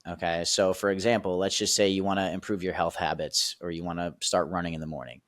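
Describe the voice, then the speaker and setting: A man's voice: low-pitched, steady tone, fast, fairly bright tone, no room sound. A man, a sales and performance coach on a podcast, explaining steps with energy and often checking in with "right?" and "okay?". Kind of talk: casual teaching explanation.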